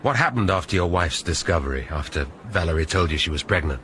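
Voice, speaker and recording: A dry sharp inspector, really low voice, hissy background. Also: male voice, lively intonation, quick pace. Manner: dry, probing. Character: shrewd, wry police inspector.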